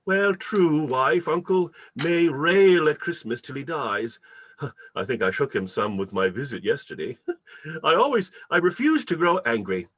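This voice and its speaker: Male actor as merry young gentleman, big-hearted, theatrical, husky, mid-pitched with lively swoops. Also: measured pace, exaggerated voice. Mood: amused, merry. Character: jolly, forgiving young nephew, laughs at uncle. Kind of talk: radio play acting, live reading.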